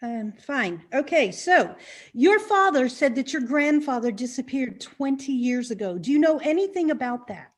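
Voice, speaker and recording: Nervous female investigator played by an actress, very high voice, polite and hesitant, comedic mystery play. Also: lively intonation, normal speed. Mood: hesitant, coaxing. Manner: hesitant, apologetic.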